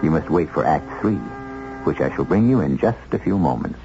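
A gravelly, portentous male host of a vintage radio mystery. His delivery is theatrical and philosophical, rising and falling widely, with loud music behind him. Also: a bass voice, animated, fairly quick, lo-fi sound. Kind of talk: 1970s radio drama narration.

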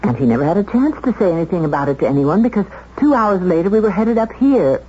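A woman playing a flustered young secretary under suspicion in a 1970s radio drama, halting and emphatic as she protests. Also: lowish voice, animated, warm tone, natural voice. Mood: flustered, defensive. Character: flustered young female secretary accused of murder. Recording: dull, hissy vintage radio recording.

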